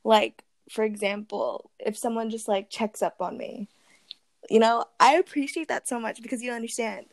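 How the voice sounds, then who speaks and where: A young woman with a fairly high voice hosts a casual question-and-answer session. She rambles in a bubbly way, peppering her talk with fillers, and giggles often. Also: fairly even intonation, moderate pace, no echo. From Philippines. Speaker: host. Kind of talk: casual Q&A chat.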